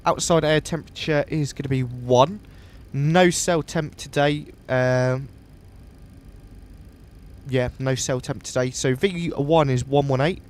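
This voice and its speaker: A man's voice: mid-range voice, a little slow, darkish tone. Sniffly man talking through a sim flight. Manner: methodical, thinks aloud step by step. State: sniffly from a coming cold. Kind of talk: casual live walkthrough of a flight simulator.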